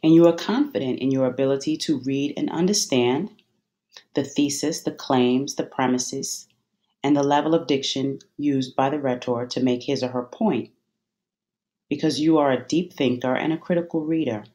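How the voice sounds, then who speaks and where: A meditation guide with a medium-pitched voice speaks in a soothing, instructional way. Phrases are measured and set apart by deliberate pauses, in the manner of a guided visualization. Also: mellow.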